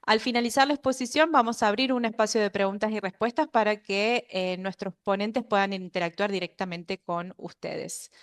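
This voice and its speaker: A woman's voice: mid-range voice, moderate pace, few pauses. A woman hosting a webinar, giving a polite, formal scripted introduction. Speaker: webinar host.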